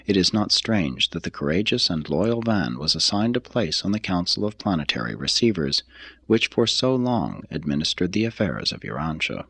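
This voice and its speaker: A man reading a religious text as scripted narration, in a measured, solemn and formal manner. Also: low-pitched, sing-song, vocal fry, fairly bright tone, slightly rough, no room sound. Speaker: reader.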